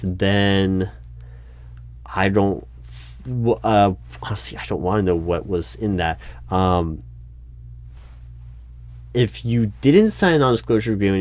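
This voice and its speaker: Guy with a lowish voice doing a job interview roleplay as the recruiter, polite but doubtful, stumbles and hesitates a lot, not whispered. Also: no echo. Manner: halting, stammering, hesitant. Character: awkward, skeptical but polite male hiring manager. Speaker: roleplay performer as recruiting manager. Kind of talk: one-sided interview roleplay, ASMR spoken out loud. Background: computer keyboard typing.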